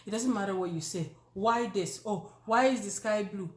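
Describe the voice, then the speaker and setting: A woman with a Nigerian accent, co-hosting a casual chat, joking and animated with many fillers. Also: mid-range voice, normal speed. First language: not Yoruba. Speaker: co-host. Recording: echoey room.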